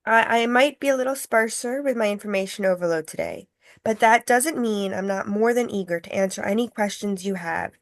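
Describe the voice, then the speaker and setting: A woman acting a polite, earnest tour guide, measured and explanatory, in a film script read. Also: medium pitch, no echo, studio quality, close to an everyday voice. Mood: solemn, earnest.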